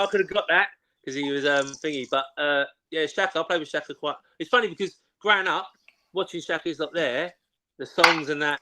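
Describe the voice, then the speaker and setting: A man chats casually, telling anecdotes in a loose, banter-heavy way. His voice sits a little high for a man and has a bright, forceful edge. A faint hiss runs underneath. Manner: chatty, anecdotal storytelling full of banter. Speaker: former player and pundit. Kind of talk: casual chat.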